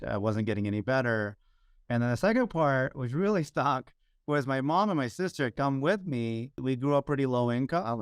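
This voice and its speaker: A man's voice: on the low side, smooth, dry recording, studio quality. A middle-aged American man telling his story as an interview guest, earnest, with frequent restarts and "you know" fillers. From United States. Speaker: guest, hospital digital executive.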